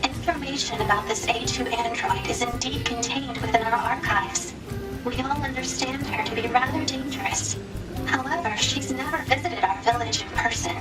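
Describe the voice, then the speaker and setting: Woman playing polite gentle robot leader, real high voice, echoey, loud music. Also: normal speed, lightly acted. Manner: formal, polite, wordy. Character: gentle, courteous pacifist robot village leader. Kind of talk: English video game voice acting. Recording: echoing, distant, loud music behind.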